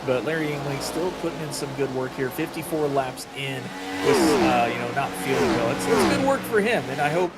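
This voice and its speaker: A man giving conversational motorsport analysis as the co-commentator on a race broadcast. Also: mid-range voice. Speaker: racing co-commentator. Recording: loud engine and vehicle noise.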